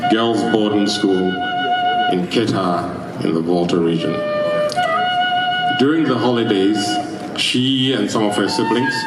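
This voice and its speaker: A Ghanaian-accented man formally reading a scripted funeral biography in a measured, deliberate way. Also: fairly low pitch. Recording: echoey PA sound with loud music.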